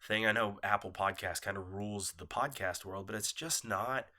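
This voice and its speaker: Podcast host, man, congested and nasal, loose apologetic chat, bright edge to the tone. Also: lowish voice, moderate pace. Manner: rambling, apologetic, loose and laid-back. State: nasal from a cold, coughing. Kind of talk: casual solo podcast chat. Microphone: phone set down nearby.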